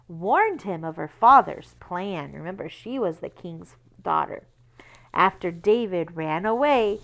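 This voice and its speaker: Slow, very animated woman reading to children. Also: medium pitch. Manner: instructive, explains words and checks understanding with children; expressive, emphatic storytelling. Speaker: read-aloud teacher for children. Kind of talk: children's book read-aloud.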